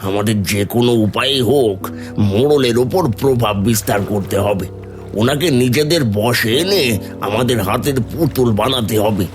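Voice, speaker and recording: A man playing a poor, resentful, scheming village idler in an animated story, given to complaining and wheedling. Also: medium pitch, a little slow, faint music behind, close to an everyday voice. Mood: plotting.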